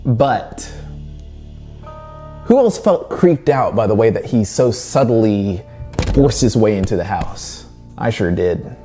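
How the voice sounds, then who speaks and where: Male presenter for a story review, low and mellow, chatty and wry, soft music underneath. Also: lively intonation, takes it slowly, pauses often. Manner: conversational, wry asides. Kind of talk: semi-scripted literary analysis narration.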